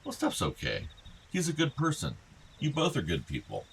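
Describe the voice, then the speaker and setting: A man's voice: fairly high pitch, huge pitch swings, moderate pace, vocal fry, slightly rough, heavily acted, poor audio. A male actor playing a fond, outdoorsy middle-aged father of two grown sons in a naturalistic radio play. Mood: quietly concerned. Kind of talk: naturalistic radio drama dialogue.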